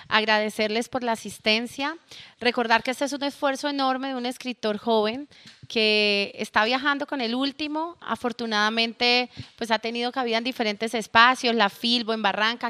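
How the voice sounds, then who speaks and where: Bright-voiced moderator woman, crisp and close. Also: a little high, no room sound. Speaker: event moderator. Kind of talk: moderating a book presentation.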